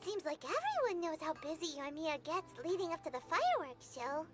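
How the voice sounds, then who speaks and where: A woman voicing a tiny, childlike, squeaky female fairy companion in an anime-style video game. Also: big pitch swings, moderate pace, fairly bright tone, exaggerated voice. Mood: curious, perky. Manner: childlike, speaks in third person. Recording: music behind the voice.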